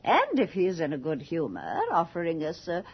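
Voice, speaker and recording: Imperious aging noblewoman, husky and low, grandly bored, muffled radio drama sound. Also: wildly swooping pitch, brisk, heavily acted. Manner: haughty, grand, drawling. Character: imperious, jaded old aristocratic society hostess.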